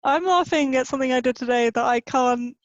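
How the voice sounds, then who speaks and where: Casting: female podcast co-host, high register, informal chat, slightly muffled lo-fi sound. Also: fairly quick, no echo. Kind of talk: casual chat.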